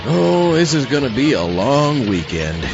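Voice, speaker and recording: An animated, casual sidekick, acted by a man, skeptical and wryly exasperated. His comic audio drama banter sounds slightly dull and sits over clear music. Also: medium pitch, measured pace. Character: laid-back, skeptical sidekick of a mad scientist. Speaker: host introducing radio plays.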